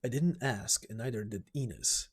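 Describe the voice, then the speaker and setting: A man's voice: fairly low pitch, measured pace, husky. A man playing a composed, weary mercenary captain in amateur voice acting of a game story. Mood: somber.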